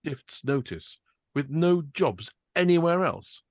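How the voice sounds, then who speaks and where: A man's voice: fairly high pitch, expressive, slow. Shaken woman ER doctor, read by a man, bitter and volatile, read-through. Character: shaken woman emergency doctor, sole earner.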